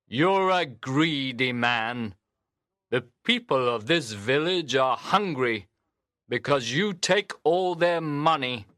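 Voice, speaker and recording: A man's voice: mid-range voice, lively intonation, slow pace, pushed and bright, no room sound, clean studio sound. A man voicing a brave, kind village father who speaks up defiantly, as an audiobook reading. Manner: defiant, firm.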